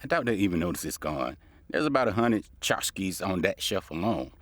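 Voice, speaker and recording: An actor playing a casual, chatty, opportunistic delivery driver. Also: male voice, low voice, big pitch swings, normal speed, dry recording.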